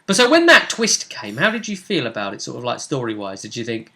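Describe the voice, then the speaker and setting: A man's voice: medium pitch, huge pitch swings, a little fast, gravelly, sharp tone. A man casually discussing a tabletop role-playing game as its game master, often checking with tag questions.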